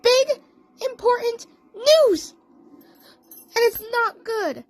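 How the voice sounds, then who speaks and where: A woman's voice: very high-pitched, expressive, very slow, soft background music, pitched above the performer's own voice, full character voice. A woman voicing an urgent female fairy cat in a cartoon fan story, announcing news emphatically. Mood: alarmed, grave.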